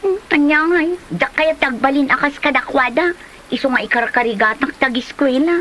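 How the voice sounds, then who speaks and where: A woman's voice: sits high, fairly quick, a bit bright, slight background noise, poor audio. A woman with an Ilocano Filipino accent acting a studious, exasperated schoolgirl daughter in an amateur comedy drama. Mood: wistful.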